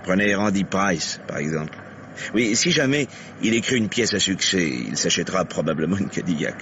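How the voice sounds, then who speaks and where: An actor playing a methodical, dogged male police lieutenant in a French radio mystery, questioning deliberately with emphatic turns. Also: deep voice, lively intonation. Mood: deliberate, insistent. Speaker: police investigator. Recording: dull, noisy vintage radio recording.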